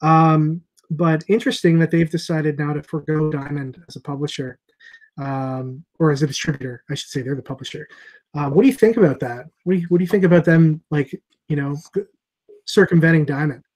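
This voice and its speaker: A middle-aged man hosting a casual video call chat as a comic shop owner, thinking aloud with many pauses and fillers. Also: a little high, fairly even intonation, a little mellow, low-quality recording. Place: small room with shelves of comics behind. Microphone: earbud headset on a video call.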